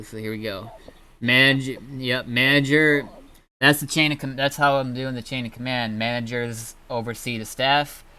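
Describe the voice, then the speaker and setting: Bright, clear-voiced man chatting casually on stream. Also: mid-range voice. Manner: halting, rambling, backtracking mid-sentence. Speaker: streamer and roleplay server founder. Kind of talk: casual livestream chat.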